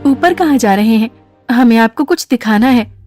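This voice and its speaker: A woman's voice: fairly high pitch, animated, normal speed, darkish tone, faint music behind, natural voice. An actress playing a timid, coy young woman in an audio drama, hesitant and deferential as she placates. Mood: placating. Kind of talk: Hindi audio drama acting.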